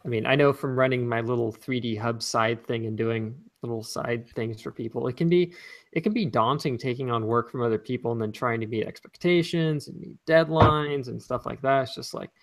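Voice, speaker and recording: A man's voice: medium pitch, fairly quick. A man co-hosting a casual talk show, rambling with lots of filler words. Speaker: co-host. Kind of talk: casual chat.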